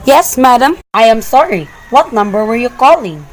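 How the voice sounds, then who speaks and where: A woman's voice: a little high, expressive, normal speed, close to an everyday voice, poor audio. A woman playing a polite, unflustered telephone operator in an amateur radio play, formal and procedural. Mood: polite, puzzled.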